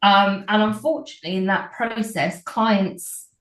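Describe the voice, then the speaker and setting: A woman's voice: medium pitch, fairly even intonation, a little slow, a bit bright. A woman in her forties, an agency owner, giving earnest interview answers full of ums and restarts. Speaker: real estate agency owner and broker. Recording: roomy echo.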